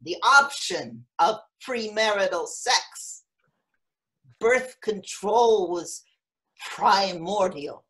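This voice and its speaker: Actress as a slow-spoken, frank old mother. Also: mid-range voice, expressive, a bit bright, poor audio. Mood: frank, probing. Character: frank, wistful elderly mother of seven, plainspoken and rueful. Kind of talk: staged reading of a play.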